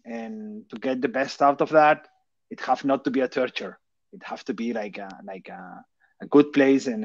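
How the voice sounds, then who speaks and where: A man's voice: mid-range voice, no echo. A man from Barcelona with a Catalan Spanish accent, in his thirties, giving a technical talk over a call with many fillers and rhetorical questions. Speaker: conference speaker. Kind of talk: technical presentation. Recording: dull, dry lo-fi call audio.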